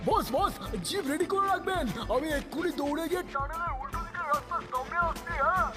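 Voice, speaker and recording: An actor voicing an eager, excitable male henchman of a gang boss in a cartoon. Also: very high voice, fast. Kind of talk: cartoon dub acting. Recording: loud music under the voice.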